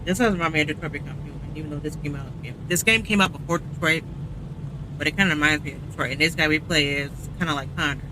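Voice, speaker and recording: A man's voice: fairly high pitch, quick pace, background noise. A man giving casual commentary while playing through a video game. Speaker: gameplay streamer.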